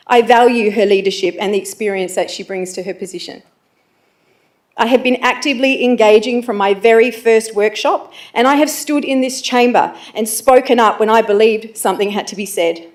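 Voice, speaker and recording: A woman's voice: fairly high pitch, a little mellow. Earnest Australian woman councillor. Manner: earnest, confident, read-out. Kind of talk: prepared council speech.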